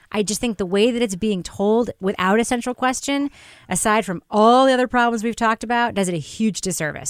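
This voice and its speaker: A woman co-hosts a podcast in a medium-pitched voice with very little room sound. Her talk is casual and opinionated, delivered with blunt emphasis. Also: clean tone, dry recording, studio quality. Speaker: podcast co-host. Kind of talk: casual opinionated review chat.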